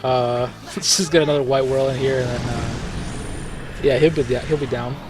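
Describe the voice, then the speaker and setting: Relaxed male gaming host, mid-range voice, chatty and meandering, faint background music. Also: mid-range voice, moderate pace. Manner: rambling, relaxed, frequent fillers and self-corrections, chuckles. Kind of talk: casual gameplay commentary.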